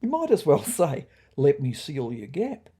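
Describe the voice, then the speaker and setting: A woman's voice: sits low, huge pitch swings, unhurried, warm tone, breathy edge, voice pushed down, full character voice. A woman voicing a blunt, mocking male cat in a comic audio storybook. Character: blunt, scoffing, streetwise male pet cat.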